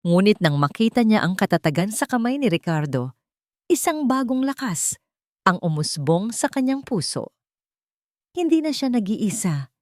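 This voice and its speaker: A woman narrates a scripted romantic drama in a low-pitched voice. The sound is dry and close, with almost no room around it. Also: a little mellow, no room sound.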